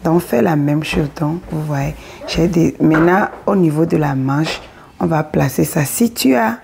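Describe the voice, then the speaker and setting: A woman's voice: deep voice, normal speed, a little mellow, slight background noise. A woman with a cold, speaking French as a second language, explaining step by step how to cut and sew a kaftan. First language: not French. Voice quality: husky, gravelly. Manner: plain step-by-step explaining, often asks the viewer if they follow. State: has a cold, hoarse. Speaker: sewing tutor. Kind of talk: casual sewing tutorial. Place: indoors at a table.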